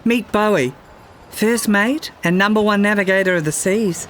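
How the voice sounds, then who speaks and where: A man's voice: high-pitched, moderate pace, a bit bright, slight background noise, voice pushed up. A man voicing a proud, self-assured swashbuckling woman captain in a storybook. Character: confident, wary swashbuckling woman sea captain.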